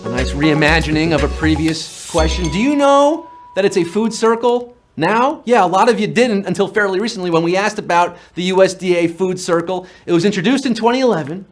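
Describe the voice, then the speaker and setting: Quiz host, male, high bright voice, punny fast patter, light music underneath. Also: animated. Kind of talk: upbeat live game show hosting.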